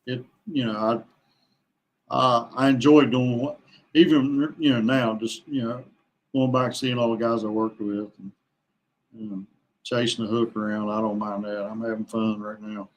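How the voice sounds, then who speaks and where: An older man chatting casually as a podcast guest, halting and often repeating his words. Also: medium pitch, flat delivery, poor audio. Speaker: podcast guest, kayak angler. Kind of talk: casual conversational interview answers.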